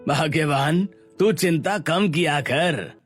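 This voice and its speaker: A man voicing an easygoing, reassuring husband in a family cartoon, with a slow, drawn-out, soothing delivery. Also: fairly high pitch, soft background music.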